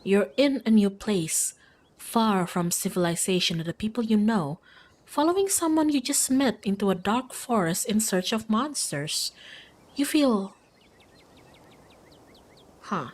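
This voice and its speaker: A woman's voice: medium pitch, lively intonation, measured pace, long pauses. A woman reading a visual novel aloud expressively as a streamer, with casual asides to viewers.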